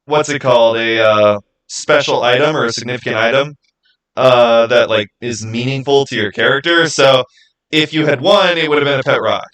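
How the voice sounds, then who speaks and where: A man hosting a livestream, answering viewers in casual, halting speech and thinking out loud. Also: medium pitch, low-quality recording. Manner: halting, thinking aloud with repeated phrases. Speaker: livestream host.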